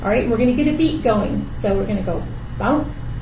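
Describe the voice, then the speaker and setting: A woman's voice: mid-range voice, expressive, a little fast. A woman hosting a children's storytime, talking in simple, encouraging phrases as she reads and teaches rhymes. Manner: simple, encouraging talk addressed to small children. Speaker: library storytime host. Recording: roomy, distant microphone with background noise.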